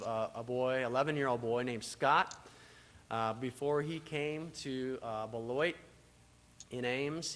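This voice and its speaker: A man's voice: medium pitch, a bit bright. Man addressing a church, hesitant. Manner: hesitant, many filler uhs. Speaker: church relations speaker for a social services agency. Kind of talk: speaking to a congregation. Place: church sanctuary. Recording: distant mic in a reverberant church.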